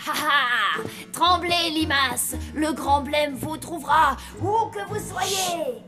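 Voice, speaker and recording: A woman's voice: high-pitched, slow. A woman voicing a mischievous, boastful zombie boy in a French cartoon, playful and theatrical. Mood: menacing, playful. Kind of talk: French animated cartoon dub acting. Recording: loud music behind the voice.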